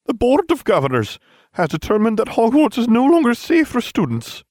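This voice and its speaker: Stern older headmistress put on by a man, clipped and exasperated, raised high and loud, dry sound. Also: wildly swooping pitch, normal speed, no room sound, heavily acted.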